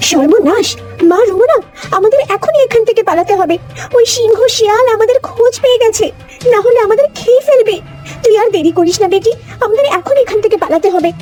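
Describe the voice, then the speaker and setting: A woman's voice: extremely high pitch, expressive, brisk, darkish tone. A woman playing a motherly old cow in a cartoon, tender and self-sacrificing, with a pleading, endearing way of talking. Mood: alarmed. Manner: pleading, endearing address to her child. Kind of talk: cartoon voice acting. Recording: music behind the voice.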